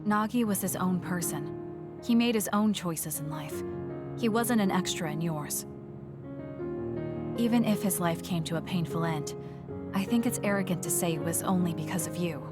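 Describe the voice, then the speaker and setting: Woman doing blunt composed anime game soldier girl, kinda low voice, measured, music under it. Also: natural voice. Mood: calm, consoling. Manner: measured, frank, reserved. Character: cool, blunt, composed young female soldier. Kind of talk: English anime game dub acting. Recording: music playing behind the voice. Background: game background music.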